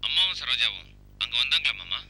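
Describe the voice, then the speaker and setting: An actor playing an earnest film director and husband in a TV serial. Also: male voice, mid-range voice, very animated, quick pace, creaky, very noisy. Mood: anxious, polite. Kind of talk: Tamil TV serial acting. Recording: music over the dialogue.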